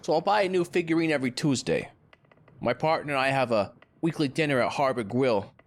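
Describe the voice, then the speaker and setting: A man's voice: mid-range voice, animated, a little fast, lightly acted. A man acting a chipper, needling lawyer in an audio drama, breezy, sarcastic and boastful. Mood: animated, flippant.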